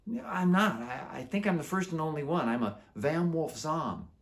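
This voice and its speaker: A man's voice: fairly high pitch, lively intonation, normal speed, pitched above the performer's own voice. A man voicing a curious, earnest middle-school boy werewolf in a children's storybook, polite, questioning and a little hesitant. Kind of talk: children's book character voice.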